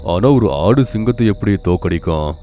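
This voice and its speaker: A man's voice: lowish voice, lively intonation, moderate pace, mellow, faint music behind, lightly acted. A man voicing a scheming, greedy male goat in a cartoon fable. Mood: incredulous.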